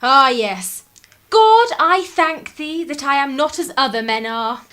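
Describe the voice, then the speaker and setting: A woman acting a headstrong young English daughter in a radio play. Her voice is high and swoops expressively. She is assertive, idealistic and quick to turn sardonic. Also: lively intonation, a bit bright. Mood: sarcastic. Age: young adult. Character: defiant, idealistic eighteen-year-old English daughter, sharp-tongued.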